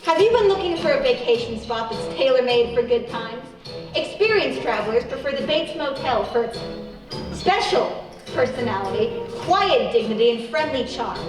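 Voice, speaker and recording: A woman's voice: on the high side, lively intonation, moderate pace, natural voice. Genteel vintage radio announcer, female , played by an actress: formal delivery, distant echoey mic, music underneath. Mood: promotional. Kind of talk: old-time radio announcing.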